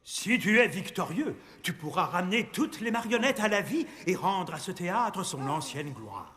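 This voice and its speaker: A grand puppet master of ceremonies from an animated film. The voice is very high and highly animated, proclaiming in a theatrical, storyteller's way. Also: male voice, normal speed. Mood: solemn.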